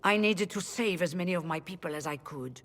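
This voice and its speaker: An actress playing a weary, defensive woman who leads rebel mages, speaking in a formal, measured way. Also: lowish voice, background noise, lightly acted. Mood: resigned. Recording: long hall reverb.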